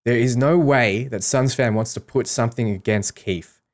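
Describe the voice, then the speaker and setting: A man's voice: mid-range voice, moderate pace. A man giving casual, explanatory commentary on a game, talking through his strategy as a streamer.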